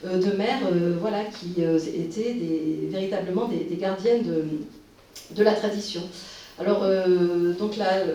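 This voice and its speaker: A woman's voice: mid-range voice, warm tone, recorded from a distance, slight background noise. A woman giving a public lecture, speaking hesitantly with many fillers and restarts. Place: conference hall. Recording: roomy hall recorded from afar.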